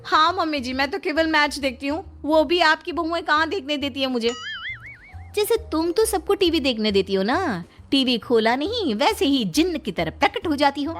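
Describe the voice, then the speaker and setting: A woman's voice: high voice, expressive, moderate pace, fairly bright tone, soft background music, voice pushed up. A woman voicing squabbling young daughters-in-law, indignant and whiny, in a cartoon moral story. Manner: bickering, whiny protest.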